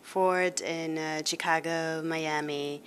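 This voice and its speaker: A woman interviewing a guest for a magazine in the guest's apartment, asking polite, gently probing questions. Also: sits low, steady tone, slow, slight background noise.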